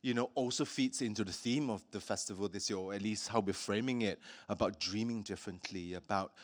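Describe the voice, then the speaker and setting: A man from Singapore hosting a public talk with an artist, speaking thoughtfully with many pauses and fillers. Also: on the low side. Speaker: host.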